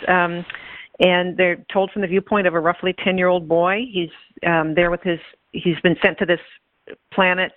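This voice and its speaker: A woman chatting thoughtfully about books as a guest, pausing often with ums. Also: on the low side, dry recording. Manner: thoughtful, hesitant with many ums. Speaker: guest, author's daughter. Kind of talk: casual book discussion. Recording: dry tinny phone line.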